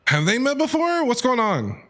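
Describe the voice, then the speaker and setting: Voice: medium pitch, wildly swooping pitch, normal speed, pushed and bright. A streamer giving casual, chatty live reactions to a video game, talking back to the characters aloud.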